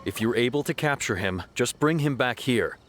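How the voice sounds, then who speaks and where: An actor playing a stern, matter-of-fact city guard officer in a video game. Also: male voice, medium pitch, animated, moderate pace, music in the background, lightly acted. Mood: serious.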